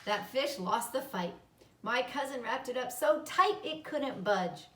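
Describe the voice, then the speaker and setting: A woman voicing a calm, motherly female spider storyteller who speaks in a measured, encouraging way. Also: a little high. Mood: storytelling. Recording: roomy, echoing, distant microphone.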